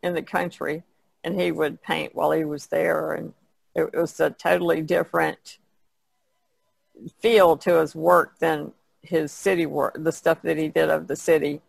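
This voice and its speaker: A woman's voice: sits low, flat delivery, normal speed, vocal fry, husky, no room sound, poor audio. A woman on a podcast panel, talking casually about art.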